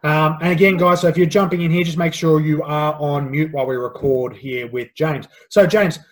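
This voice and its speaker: A man's voice: a little high, fairly even intonation, normal speed. A man hosting a podcast, upbeat and loose, with lots of fillers. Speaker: podcast host.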